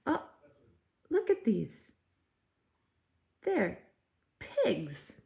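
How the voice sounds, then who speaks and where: A woman teacher reads a picture book aloud to young children. Her delivery is very unhurried and expressive, with wide swings in pitch and simple explanatory asides. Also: gravelly, a little hiss or hum. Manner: deliberate, animated, simple child-directed phrasing.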